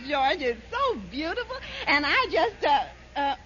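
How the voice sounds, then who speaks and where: Through a dull, slightly noisy old recording comes a fluttery, gushing wife. The voice is piercingly high and bright, in 1950s sitcom style. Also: female voice, sing-song, measured pace, exaggerated voice. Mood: delighted. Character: gushing, excitable wife in fine mink. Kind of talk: 1950s radio sitcom acting.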